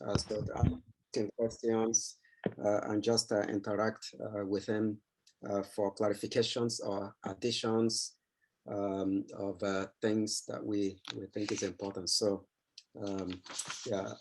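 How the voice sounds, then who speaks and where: Halting male meeting host. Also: fairly low pitch, level tone, background noise. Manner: halting, with constant "uh" fillers and repeats. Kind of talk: introducing a speaker.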